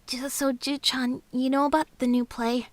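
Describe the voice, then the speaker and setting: A woman voicing a shy, flustered anime boy with a halting, nervous delivery. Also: high voice, little pitch movement, dry recording, voice pushed up, close to an everyday voice. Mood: nervous, confessing. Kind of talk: anime voice acting.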